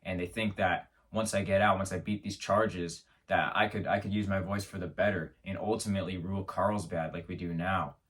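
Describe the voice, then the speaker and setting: Man host with a lowish voice, stammering through a deadpan tall tale, mic set back from him. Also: a little fast, hardly any pauses, distant mic. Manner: rambling, stammering restarts, mock-serious. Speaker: show host. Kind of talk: absurd comedic monologue.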